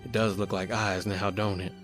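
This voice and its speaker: A man's voice: lowish voice, level tone, normal speed, music in the background, natural voice. An actor playing a tough, impatient crook who tells his horror tale in slangy, colloquial old-time radio style. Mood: grim, uneasy. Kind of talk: old-time radio horror acting with first-person narration.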